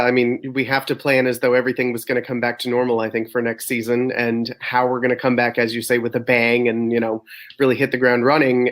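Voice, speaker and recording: A man with an average-pitched voice speaks in a relaxed back-and-forth discussion. He is thoughtful and earnest, reasoning through his ideas as an artistic director would. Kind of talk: conversational discussion.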